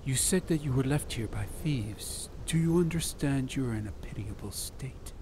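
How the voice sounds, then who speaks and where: Man playing a brisk desert explorer. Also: medium pitch, animated, background noise, natural voice. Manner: archaic speech with thee and ye. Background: ambient music.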